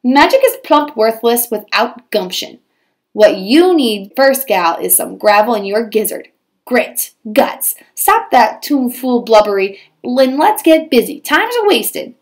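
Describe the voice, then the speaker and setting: A woman voicing a feisty little old Western fairy godmother in a storybook, brisk and bossy with folksy cowboy slang. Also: on the high side, lively intonation. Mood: bossy, urging.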